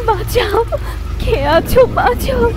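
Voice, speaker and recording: A shrill, wailing female ghoul from a horror cartoon, vengeful and bloodthirsty, as a man puts her on. Her very high voice drags out each phrase slowly and swings wildly. A steady noise sits under the recording. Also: a crowd in the background, exaggerated voice. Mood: terrified plea.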